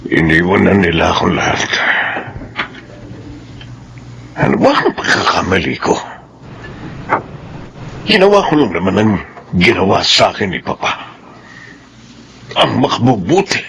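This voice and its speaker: A man's voice: sits low, big pitch swings, moderate pace, vocal fry, pauses often, a bit bright, slight background noise, full character voice. Stern, embittered Filipino father. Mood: anguished, self-doubting. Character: stern, embittered middle-aged father and domineering husband. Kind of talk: radio drama acting.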